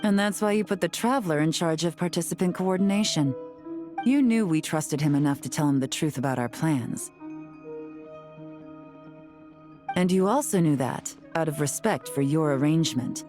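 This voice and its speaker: An actress playing a cool, shrewd female intelligence agent in a video game, calm, knowing and deliberate. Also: sits low, soft background music, close to an everyday voice. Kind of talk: video game character acting.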